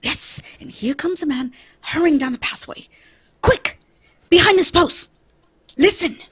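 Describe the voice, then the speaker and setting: Woman playing a high-voiced, confident male detective. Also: unhurried. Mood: urgent. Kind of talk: old-time radio drama script read live.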